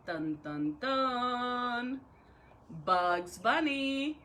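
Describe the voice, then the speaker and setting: A woman talking and reading aloud to young pupils as a school educator, with expressive, drawn-out phrasing. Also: a little high, a little hiss or hum. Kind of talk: read-aloud for children with chatty intro.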